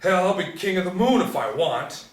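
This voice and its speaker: A man's voice: sits high, sing-song, normal speed. A man playing a cocky, wild young gang leader in a screenplay table read, boastful and taunting. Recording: echoey room, distant from mic.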